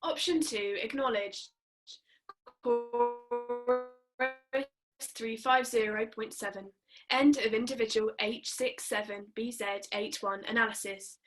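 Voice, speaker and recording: An actress in a table read of a play, voicing an impassive official computer intelligence in a formal, detached, procedural way. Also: female voice, fairly high pitch, fairly bright tone, low-quality recording.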